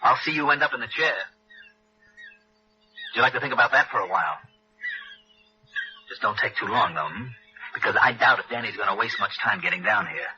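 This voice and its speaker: Tough, blunt radio investigator. Rapid, very forceful delivery, commanding and clipped, 1960s detective drama. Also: male voice, fairly low pitch, animated, a little hiss or hum. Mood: threatening, hard. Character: tough, hard-boiled freelance insurance investigator, commanding. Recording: dull old radio broadcast, telephone-like.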